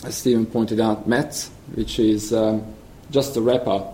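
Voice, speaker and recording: A man with a Hungarian accent giving a conference presentation as a software engineer, hesitant and full of ums and restarts. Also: fairly low pitch, flat delivery, measured pace, roomy sound, a little hiss or hum, rough recording.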